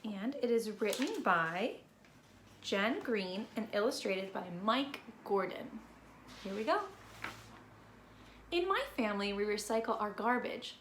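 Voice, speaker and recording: A woman reading a picture book aloud to young children in an expressive, deliberate storytelling manner. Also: mid-range voice. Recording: roomy echo with background noise.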